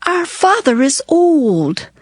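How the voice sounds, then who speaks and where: Higher-voiced biblical young woman. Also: sing-song, slow, dry recording, studio quality, heavily acted. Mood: conspiring. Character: conspiring young elder daughter of Lot. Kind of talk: dramatized Bible acting in archaic English.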